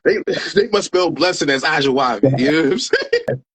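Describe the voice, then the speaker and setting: A man's voice: on the high side, very animated, a little fast, a bit bright, slightly rough. A man hosting an interview show in a casual, hyped way, using slang and repeating words for emphasis. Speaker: show host.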